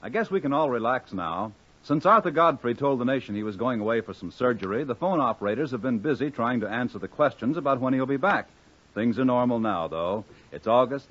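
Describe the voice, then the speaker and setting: A man's voice: fairly low pitch, a bit bright. A man working as a 1950s radio announcer, reading scripted introductions and network promotion. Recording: dull 1950s radio recording.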